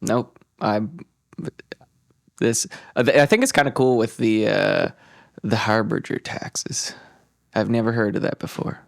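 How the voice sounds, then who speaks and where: A man's voice: on the low side, unhurried, creaky, long pauses. A man co-hosting a podcast in casual, offhand chat. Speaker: podcast co-host.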